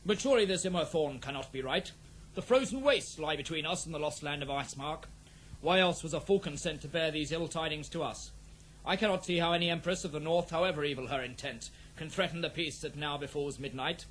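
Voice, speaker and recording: Guy doing noble war prince, stately formal speech, bit high, fantasy audio drama, slightly noisy tape. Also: fairly bright tone, close to an everyday voice. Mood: skeptical, stern. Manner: formal, declamatory, measured. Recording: faintly noisy old cassette dramatisation.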